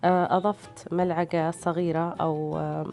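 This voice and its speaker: Woman, tired mellow voice, flat pitch, hesitant cooking narration. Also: normal speed. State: tired, strained voice. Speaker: cooking channel host. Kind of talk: casual recipe voice-over narration. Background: background music.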